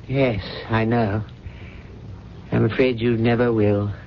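An actor playing an ailing, devoted older butler in a radio drama, formal, deferential and hesitant. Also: male voice, fairly low pitch, steady tone, takes it slowly. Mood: tender, sorrowful. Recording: dull, noisy 1970s radio broadcast.

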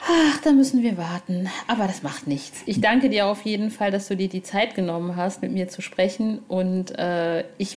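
A woman's voice: mid-range voice, normal speed, hardly any pauses, darkish tone. A woman hosting a podcast, interviewing in a casual, chatty and enthusiastic way. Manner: enthusiastic, informal.

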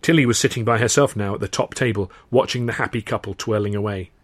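English guy narrating an audiobook, telling it in first person, measured delivery. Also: lowish voice, fairly even intonation, no room sound. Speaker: author reading own novel aloud.

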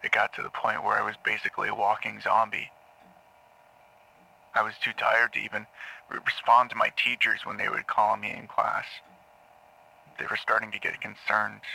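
Troubled male patient on a therapy tape: lowish, creaky, piercingly edged, halting confessional delivery for audio drama. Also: monotone, pushed and bright, full character voice, low-quality recording. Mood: bitter regret. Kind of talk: audio drama monologue on taped therapy session. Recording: old cassette tape playback.

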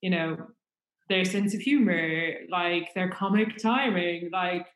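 A woman's voice: lowish voice, slow, reverberant. A woman with an Irish accent chatting casually and at length, with plenty of fillers. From Ireland. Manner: chatty, rambling with many fillers. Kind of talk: casual chat.

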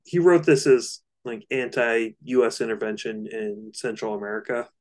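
A man co-hosting a casual discussion of music, speaking in hesitant, halting phrases. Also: medium pitch, steady tone, a little mellow. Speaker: music discussion podcast co-host.

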